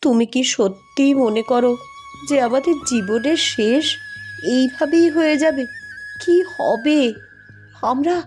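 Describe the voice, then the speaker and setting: A woman acting a slow-speaking village mother. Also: sits high, darkish tone. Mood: anxious. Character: worried, caring poor village wife and mother. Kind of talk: Bengali cartoon drama dubbing. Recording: music under the voice.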